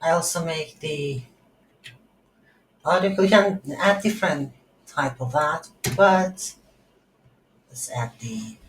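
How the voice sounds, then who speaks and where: A woman giving an unscripted screen-recorded coding tutorial, thinking aloud in halting short phrases. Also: deep voice. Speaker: tutorial instructor. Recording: roomy echo, audible background noise.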